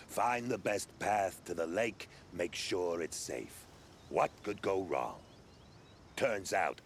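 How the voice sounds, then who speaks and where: An actor playing a sarcastic, bitter mercenary crew leader in a video game, grumbling colourfully. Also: male voice, low-pitched, fairly bright tone, slight background noise, full character voice. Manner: sardonic, colourful folksy grumbling. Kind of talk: video game cutscene dialogue acting.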